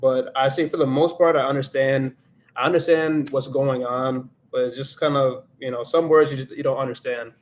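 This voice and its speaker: A man of middle pitch hosts a laid-back livestream, reflecting out loud as he goes. He slips into Spanish here and there, with a faint hum behind him. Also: fairly even intonation, normal speed. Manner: reflective, thinks aloud, switches into Spanish now and then. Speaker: livestream host and Spanish learner. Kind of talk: casual livestream chat.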